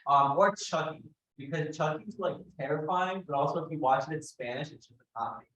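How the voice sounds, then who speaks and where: A man's voice: on the high side, brisk, a bit bright, muffled recording. A young man at a student club meeting, joking around and swearing casually. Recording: echoey room.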